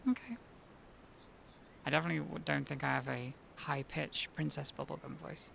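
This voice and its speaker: A woman streaming a video game live, chatting and reacting excitably to the game. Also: low voice, normal speed, a little hiss or hum. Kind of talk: live gameplay commentary. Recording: gravelly voice over clear game music.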